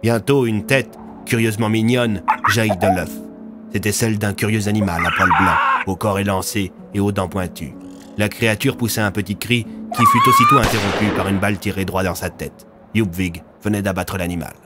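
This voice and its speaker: A man's voice: lowish voice, very animated, moderate pace, loud music behind the voice. A man narrating scripted audio fiction as a storyteller.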